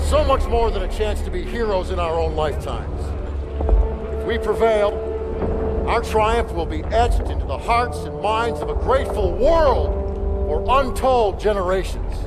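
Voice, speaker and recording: High-voiced colonel, declamatory, over a loud war score. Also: male voice. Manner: stirring, declamatory. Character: commanding Army colonel rallying troops. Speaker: officer giving a speech. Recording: loud orchestral score behind.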